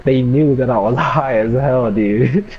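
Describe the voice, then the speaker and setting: A man's voice: medium pitch, a little slow. A young man telling a personal story casually in a laid-back, slangy way with some swearing. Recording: dull lo-fi mic with background noise.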